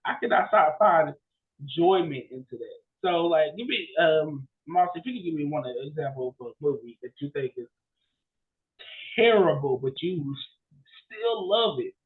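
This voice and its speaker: A man's voice: on the high side, animated, moderate pace. A young man co-hosting a podcast in casual chat, exclamatory and repeating himself for emphasis, over a thin phone-like connection. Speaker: podcast co-host.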